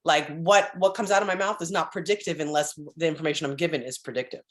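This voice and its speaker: A woman with a very low, deep voice shares a rambling story on a computer video call. She circles back often, restarts sentences and clears her throat as she goes. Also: fairly even intonation, quick pace. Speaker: spiritual group member sharing updates. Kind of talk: casual chat on a group call.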